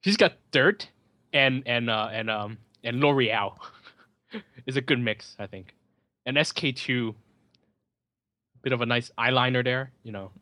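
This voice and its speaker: A man giving casual, joking running commentary on a film as a host, with sardonic asides and halting, repeated words. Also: mid-range voice, sharp tone, dry recording.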